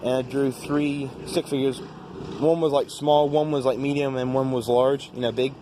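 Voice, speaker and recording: A teenage male with an American accent gives a casual, rambling vlog-style recap of the day, halting often as he talks. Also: medium pitch, flat delivery. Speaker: vlogger. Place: outdoors. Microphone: phone. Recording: noisy outdoor phone recording with traffic.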